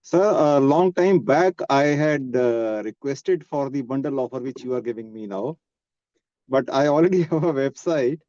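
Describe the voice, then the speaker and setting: A man's voice: medium pitch, normal speed, warm tone, no echo. A man with an Indian accent, a polite, conversational class participant asking a question over a call. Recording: dull lo-fi call audio.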